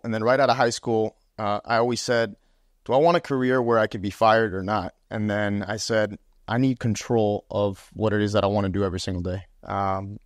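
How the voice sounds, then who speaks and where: A man's voice: mid-range voice, no echo, studio quality. A young man from Miami, a podcast guest, talking through his story in a casual interview with a lapel mic in a small room. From Miami, USA. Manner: animated, gestures, uses fillers like "um" and "right?". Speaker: podcast guest, sales executive. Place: small room with armchairs, indoors.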